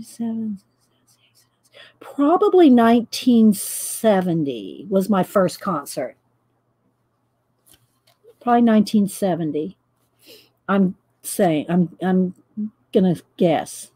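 A woman, chatting loudly while she paints and tells stories on her coloring livestream, laughing often and answering viewers. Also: medium pitch, expressive, slow pace, pauses often. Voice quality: loud voice. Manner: chatty storyteller, laughs often, reads live chat. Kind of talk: casual chat while painting. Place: home craft table, indoors.

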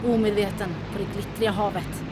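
An actress playing a bold, teasing pilot captain in an audio drama, brisk and commanding. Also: female voice, mid-range voice, flat delivery, noisy background, natural voice.

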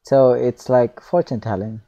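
A man's voice: medium pitch, fairly even intonation, unhurried, dark tone, muffled recording, natural voice. A man voicing a friendly, curious taxi driver while reading a visual novel aloud.

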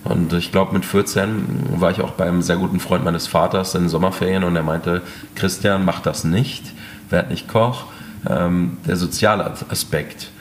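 A man who is a chef, answering interview questions in a relaxed, hesitant way with many fillers. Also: low-pitched, normal speed, a little hiss or hum. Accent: German. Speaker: chef, restaurant owner. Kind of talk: casual interview talk.

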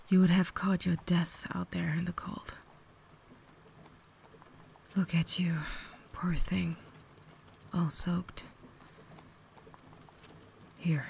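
A woman playing a cultured, caring vampire lady in an intimate ASMR roleplay, whispering softly with refined, gentle phrasing. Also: fairly low pitch, flat delivery, slow, long pauses. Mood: caring, gracious. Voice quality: soft, breathy whisper. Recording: noticeable background ambience noise.

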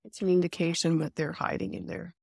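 A woman leading a guided meditation, giving soothing, unhurried instructions with pauses between phrases. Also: on the low side, fairly even intonation, creaky, a little mellow, slightly rough, no room sound, clean studio sound.

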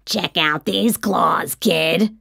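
A raspy storybook girl monster played by a woman, haughty and tough. She speaks in a snappy, sniffy way, taking her time over the words. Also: fairly low pitch, extremely slow, pushed and bright, pitched below the performer's own voice, heavily acted. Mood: cool, taunting.

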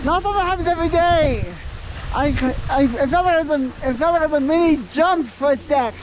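A woman films herself skiing and talks along the way in loose, halting bits that trail off. Her voice sits a little high. Heavy wind noise roars over the camera mic out on the open slope. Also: highs cut off. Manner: halting, restarts and trailing sentences. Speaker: vlogger. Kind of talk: casual commentary while skiing. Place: outdoor ski slope.

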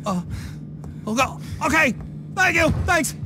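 An actor playing an anxious, foul-mouthed man who pleads and tries to keep calm in a game cutscene. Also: very high voice, measured pace, background noise, exaggerated voice. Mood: exasperated. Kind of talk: video game cutscene acting.

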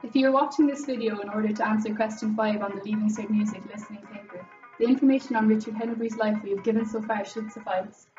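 A woman's voice: medium pitch, fairly even intonation, quick pace, darkish tone. A young Irish woman reading a scripted educational narration as a student presenter. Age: young adult. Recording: muffled, roomy, distant mic, faint music. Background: music.

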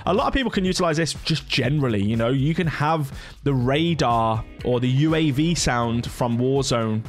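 A man's voice: medium pitch, lively intonation, normal speed, faint music behind. A middle-aged man talking informally through a casual tutorial, in the manner of a gaming content creator. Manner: chatty, informal.

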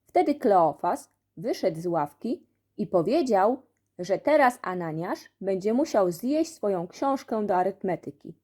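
A woman reading a children's storybook aloud as an expressive audiobook narrator. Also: mid-range voice, a little slow. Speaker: audiobook reader.

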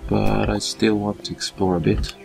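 A man with a Swedish accent giving relaxed let's-play commentary, thinking aloud as he plays. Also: lowish voice, creaky, over music. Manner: relaxed, thinking aloud while playing, slow with fillers. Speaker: gameplay commentator.

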